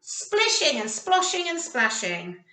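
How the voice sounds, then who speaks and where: Woman voicing an eager, high-pitched little hippo superhero. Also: sing-song, slow pace, bright, forceful tone, full character voice. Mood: proud, eager. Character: eager, apologetic young hippo, a would-be superhero. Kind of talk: children's storybook read-aloud. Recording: roomy echo, distant microphone.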